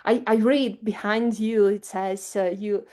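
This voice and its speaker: Woman, mellow voice, asks a long question in halting english. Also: mid-range voice, fairly even intonation. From Bulgaria. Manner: searching for words, light laughs. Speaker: conference audience member asking a question. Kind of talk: academic Q&A question.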